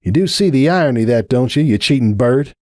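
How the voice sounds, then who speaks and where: A hardy cartoon farm mare, acted out by a man, lifted high and swinging freely in pitch. She is folksy and good-natured, with an airy, teasing manner. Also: animated, fast talker, a little mellow, no echo, studio quality, natural voice.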